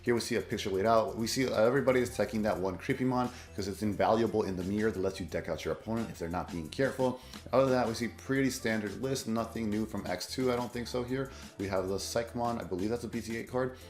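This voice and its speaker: A hoarse man who has nearly lost his voice, rambling through casual commentary on card game tournament results as a content creator, with fillers and self-corrections. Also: lowish voice, fast talker, reverberant, soft background music.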